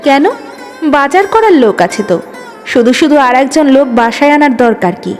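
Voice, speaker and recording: A woman's voice: high voice, lively intonation, moderate pace, darkish tone. A woman voicing a long-suffering young wife in an audio story, pleading and reproachful. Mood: bitter. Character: long-suffering young wife, hurt, protective of her father. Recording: long reverb, music underneath.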